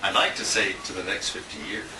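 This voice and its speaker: A man's voice: sits low, brisk. A man giving an informal tribute speech at a dinner, telling rambling, chuckling anecdotes, picked up by a handheld camera. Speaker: radio colleague giving a tribute. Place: restaurant dinner event, indoors. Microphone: handheld compact camera at a distance. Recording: distant handheld camera, roomy, noisy.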